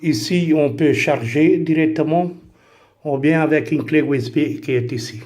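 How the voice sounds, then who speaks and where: A man's voice: a little high, moderate pace, a little mellow. A man whose first language is Italian, talking through a camera monitor setup in a casual, hesitant way with many fillers and restarts. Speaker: vlogger reviewing camera gear. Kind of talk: casual product tutorial.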